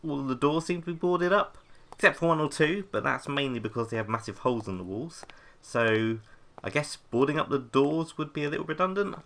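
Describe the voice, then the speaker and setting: A man's voice: fairly high pitch, animated, brisk, fairly bright tone. A man playing an awkward, apologetic, hesitant young intern hosting a podcast in an audio comedy. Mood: deadpan, self-deprecating. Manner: nervous, self-deprecating, hesitant. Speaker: podcast host. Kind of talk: scripted audio comedy drama, mock podcast.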